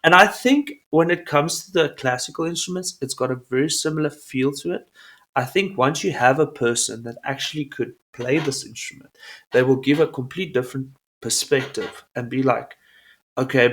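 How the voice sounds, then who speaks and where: A man, an Afrikaans speaker, hosting a casual interview, animated and swearing freely. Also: medium pitch, normal speed. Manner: animated, swears, acts out quotes. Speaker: podcast host.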